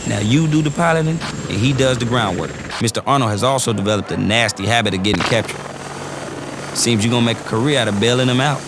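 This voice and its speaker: Male actor as a wisecracking briefing officer, mid pitch, loud noisy game audio. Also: fast, close to an everyday voice. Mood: upbeat. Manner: slangy, cocky briefing patter.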